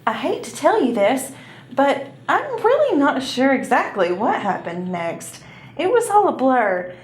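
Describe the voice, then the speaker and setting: A woman's voice: sits high, huge pitch swings, measured pace. A woman narrating a children's puppet show in a storytelling style. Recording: roomy echo with music behind.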